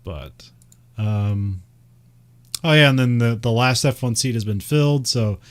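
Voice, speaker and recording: A man with a Midwestern American accent, chatting casually and rambling, with frequent laughs and fillers. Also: medium pitch. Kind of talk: casual chat about racing.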